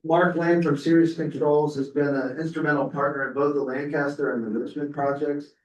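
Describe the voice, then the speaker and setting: A man moderating a conference session, introducing the next speaker in a measured, collegial way. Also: fairly high pitch, flat delivery, slight background noise. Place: conference room. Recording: echoey room, speaker far from mic.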